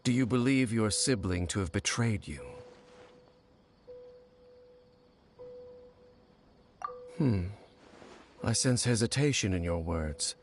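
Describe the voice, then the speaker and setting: An actor playing a grave, brooding ancient warrior in a video game, speaking in a low, measured, solemn way. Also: male voice, lively intonation, slight background noise. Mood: calm solemn. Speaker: game character. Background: faint game music.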